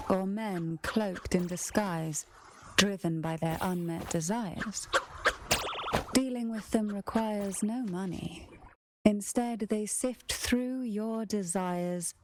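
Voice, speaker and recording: An enchanting young alchemist played by an actress speaks slowly and elegantly, teasing with a theatrical air of mystery. It is video game dialogue with clear noise behind it. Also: female voice, medium pitch, natural voice. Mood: teasing, alluring. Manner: unhurried, elegant, theatrical phrasing.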